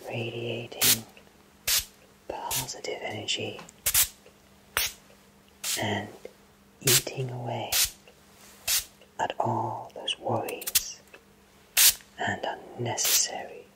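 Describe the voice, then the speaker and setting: A man in his thirties voicing a kindly fantasy potion shopkeeper in a soothing, breathy ASMR whisper. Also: mid-range voice, level tone, sharp tone, heavily acted, poor audio. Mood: soothing, hypnotic. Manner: slow, soothing, reassuring. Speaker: ASMR roleplayer.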